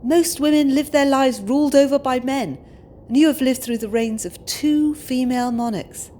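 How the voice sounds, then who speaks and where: A woman's voice: high-pitched, moderate pace, mellow, lightly acted. A woman playing a young British expectant mother in an audio drama, polite, earnest and outspoken. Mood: eager, polite.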